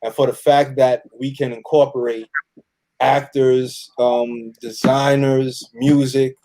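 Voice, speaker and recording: Mellow man in casual, halting conversation. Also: medium pitch, flat delivery, low-quality recording. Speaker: audio drama cast member being interviewed. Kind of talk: casual interview chat.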